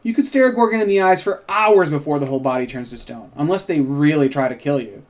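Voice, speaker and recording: A man's voice: a little high, fast talker, lightly acted. A man reading the part of a self-assured, probing woman reporter at a script table read. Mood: matter-of-fact.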